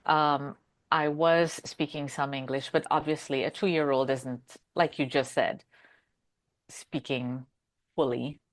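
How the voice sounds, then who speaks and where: A woman from Brazil in a casual podcast conversation, telling stories in an animated way and acting out quotes. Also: extremely low pitch, slow. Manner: animated storyteller who acts out quotes.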